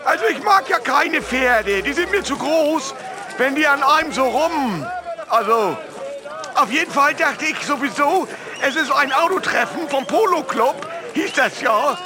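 A man's voice: very high voice, expressive, unhurried, music in the background. An actor playing a simple-minded, blunt male ex-footballer in a comedy mockumentary, rambling naively. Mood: naive, chatty.

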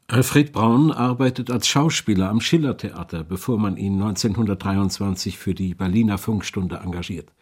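A man with a husky voice reading scripted narration for a documentary. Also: fairly low pitch, moderate pace, hardly any pauses.

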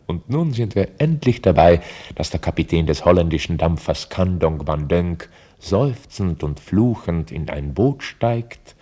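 An actor playing a reflective novelist who narrates his own story in a radio drama, measured and deliberate. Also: low voice, animated, mellow, a little hiss or hum. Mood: storytelling. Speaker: author-narrator.

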